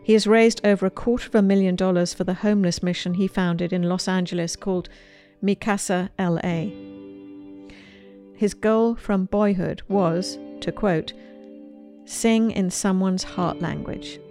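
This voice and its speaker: A woman hosting a show, delivering a scripted, earnest introduction in a measured way. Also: medium pitch, fairly even intonation, mellow, soft background music. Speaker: host.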